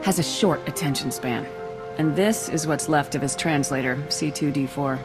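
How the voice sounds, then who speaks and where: An actress playing a tough, confident soldier in a video game, speaking in a blunt, businesslike way. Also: female voice, deep voice, normal speed, music in the background, natural voice. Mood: dry. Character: tough, direct female trooper, confident military officer.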